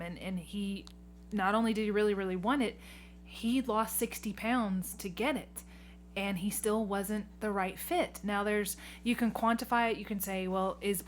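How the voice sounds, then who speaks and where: A woman in her late thirties hosting a conversational podcast interview, speaking emphatically and repeating words for stress. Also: mid-range voice. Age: late 30s. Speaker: podcast host, community theatre director. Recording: noticeable background noise.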